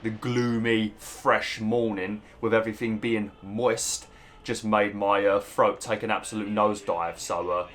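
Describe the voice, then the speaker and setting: A young British man with a slightly rough, hoarse throat, chatting casually through gameplay commentary and breaking into mild exclamations. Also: fairly low pitch, fairly even intonation, unhurried, fairly bright tone, a little hiss or hum. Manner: chatty, swears mildly, bursts into exclamations at the game. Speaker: let's play host.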